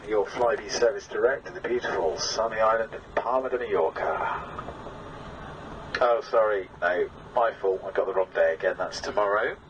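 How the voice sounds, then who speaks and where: Low-voiced man on a muffled plane PA. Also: moderate pace. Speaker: airline pilot making announcement. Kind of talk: humorous welcome announcement. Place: aircraft cabin over PA system. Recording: muffled distant cabin PA, clear noise.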